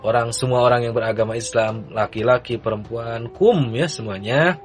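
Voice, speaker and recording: A man teaching a religious text to beginners in a relaxed, easygoing manner. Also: mid-range voice, normal speed, faint music behind. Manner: relaxed, chanting the text lines in a sung recitation. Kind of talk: kitab reading lesson.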